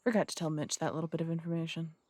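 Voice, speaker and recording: A man's voice: fairly high pitch, little pitch movement, very fast, a little mellow, no echo, close to an everyday voice. A man playing a blunt, distrustful city detective who speaks in curt, suspicious questions. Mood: accusing. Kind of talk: audio roleplay drama acting.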